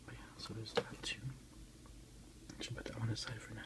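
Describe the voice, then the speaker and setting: A man softly whispering in a breathy voice as he casually hosts an ASMR unboxing, unhurried and a little hesitant, recorded on a phone. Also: on the low side, completely flat delivery. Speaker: ASMR video host.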